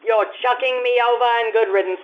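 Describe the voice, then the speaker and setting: A woman's voice: on the high side, fairly even intonation, fairly quick, narrow, tinny audio, lightly acted. A woman playing a proud, impulsive young society bride in an old-time radio play. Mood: cool, defiant.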